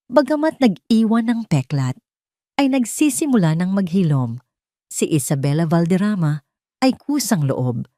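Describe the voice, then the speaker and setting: Casting: female Filipino storyteller, lower register, highly animated dramatic narration for romance fiction. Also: dry recording, clean studio sound. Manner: expressive, dramatic delivery. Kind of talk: scripted dramatic narration of a Tagalog love story.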